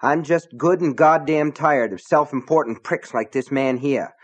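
A man's voice: on the high side, normal speed, no echo, voice pushed up, close to an everyday voice. A man doing a blustering burly selectman. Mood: angry. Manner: blustering, sulky. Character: burly hot-tempered middle-aged male selectman.

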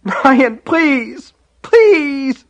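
A man's voice: high voice, extremely slow, bright, forceful tone, highs cut off, voice pushed up, heavily acted. A man voicing a terrified little boy in a story, tearful and pleading in a whimpery, babbling way. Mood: panicked, weeping.

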